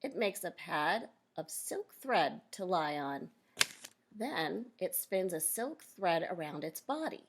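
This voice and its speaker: A woman reading a children's nonfiction book aloud as a teacher, pausing to explain and question her young listeners directly. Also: on the low side, very animated, noisy background.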